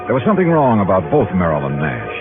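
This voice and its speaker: An actor playing a sharp, skeptical insurance investigator, dry and conversational, in a 1950s detective radio drama. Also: male voice, low voice, huge pitch swings, quick pace. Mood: brisk, suspicious. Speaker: lead actor and narrator. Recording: muffled 1950s radio recording with music.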